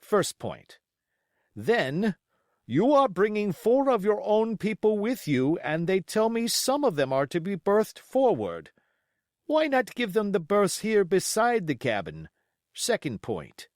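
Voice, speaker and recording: A man's voice: high voice, wildly swooping pitch, slow pace, no room sound, studio quality, pitched above the performer's own voice, exaggerated voice. A man voicing a blunt, stern sea captain in an audiobook, speaking formally in clipped, emphatic phrases. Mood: firm, insistent. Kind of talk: audiobook character acting.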